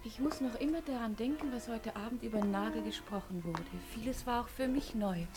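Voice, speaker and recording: An actress plays a thoughtful woman confiding in a friend in a radio play. Her voice sits fairly high, and music plays clearly behind her. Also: fairly quick, natural voice. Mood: pensive. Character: pensive woman confiding in a female companion.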